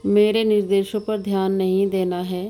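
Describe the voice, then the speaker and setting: A woman with a mellow, even voice guides a relaxation practice over music. She speaks calmly as a meditation instructor, unhurried and soothing, leaving long pauses between short instructions. Her intonation stays very flat and steady. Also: medium pitch. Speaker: yoga nidra meditation instructor. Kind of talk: slow guided meditation narration.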